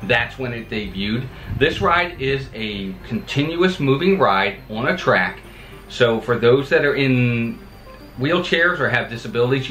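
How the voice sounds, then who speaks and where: Upbeat man vlogging in an echoey room. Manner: upbeat, lively pitch swings. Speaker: theme park channel host. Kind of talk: scripted vlog talk to camera. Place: home office with a monitor.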